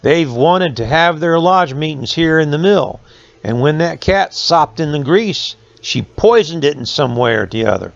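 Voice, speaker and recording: A man's voice: a little high, animated, a little slow, vocal fry, highs cut off. Man acting a folksy old country mill owner, echoey. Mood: earnest. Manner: folksy rural dialect phrasing.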